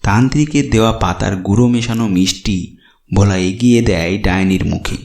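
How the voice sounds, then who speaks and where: A man's voice: lowish voice, normal speed, gravelly. A man reading scripted narration for a horror cartoon story. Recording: roomy echo, music behind. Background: music.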